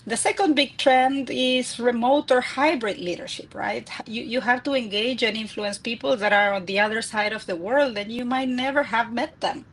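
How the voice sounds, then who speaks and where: A woman's voice: fairly high pitch, moderate pace, hardly any pauses, slightly rough, low-quality recording. A middle-aged woman from Argentina with an Argentine accent, answering interview questions eagerly and often restarting her words as she goes. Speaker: guest, executive coach and author.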